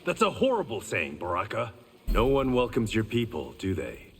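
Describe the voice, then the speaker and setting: A blind swordsman in a game with a fairly low voice. He is sardonic and principled, his direct lines moving with lively pitch. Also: normal speed, in a large hall, slight background noise. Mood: cool, pointed. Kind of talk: video game fight-intro dialogue.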